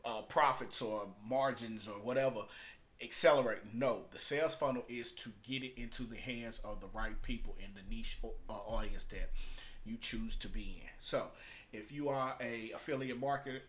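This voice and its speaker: A man's voice: mid-range voice, moderate pace, a bit bright, slight background noise. A man talking casually and off the cuff as he reviews and explains, in an emphatic, repetitive, colloquial way. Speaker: vlogger reviewing a business book.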